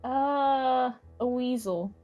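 A woman's voice: sits high, drawn-out words, mellow, faint music behind. A woman chatting casually as a player in a group game, hesitant in her answers. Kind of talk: casual chat.

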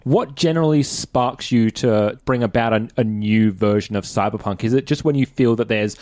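A man with an Australian accent and a medium-pitched voice. He puts casual interview questions on a podcast, recorded dry without room echo. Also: normal speed, darkish tone.

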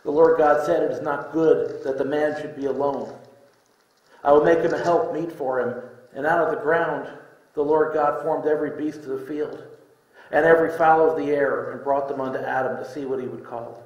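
Middle-aged preacher in an echoey room. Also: male voice, on the high side, steady tone, a little mellow, highs cut off. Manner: deliberate, with pauses between short phrases. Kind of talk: sermon with scripture readings. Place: indoor room, speaking from a lectern.

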